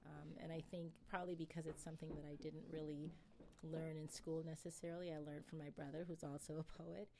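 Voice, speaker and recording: Woman poet chatting in an interview, low mellow voice, laughs a lot, lots of ums. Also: level tone, brisk, gravelly, a little hiss or hum. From England, raised in Nova Scotia. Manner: thoughtful, laughs often, many fillers and restarts. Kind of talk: casual interview answers.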